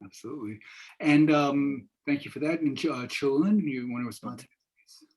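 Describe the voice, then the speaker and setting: Husky older man moderating a panel. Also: medium pitch, fairly quick, darkish tone, poor audio. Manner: encouraging, rambling with repeated words. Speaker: moderator, professor. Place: wood-paneled room indoors.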